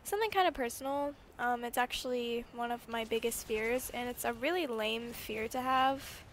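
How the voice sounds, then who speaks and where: An eighteen-year-old woman telling a personal story casually over gameplay, rambling and animated, with frequent swearing. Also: a little high, normal speed, a little hiss or hum. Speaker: gaming YouTuber.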